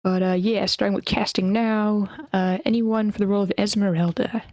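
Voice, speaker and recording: A woman's voice: mid-range voice, steady tone, normal speed, a little mellow, no room sound. Playful woman hosting, dry close sound. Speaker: host of an online script table read. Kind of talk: casual meeting hosting.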